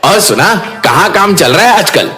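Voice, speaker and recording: A man playing a cheeky, brash, slangy young man down on his luck in an animated moral story. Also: high voice, moderate pace, faint music behind, full character voice. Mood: teasing.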